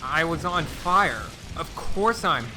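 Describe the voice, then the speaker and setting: A man playing a badly burned, stoic explosion survivor in an amateur audio drama, halting, strained and resigned. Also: lively intonation, music in the background, poor audio. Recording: very loud rain and rumble over voice.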